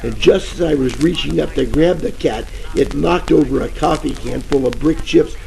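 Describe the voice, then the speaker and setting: Gravelly bumbling radio gumshoe played by a man. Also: a little high, normal speed, poor audio. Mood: rambling, hammy. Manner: rambling, hammy, slangy deadpan storytelling. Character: bumbling, boastful hard-boiled private detective and ex-cop. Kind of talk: parody of 1940s radio detective acting. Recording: old-time radio with music behind.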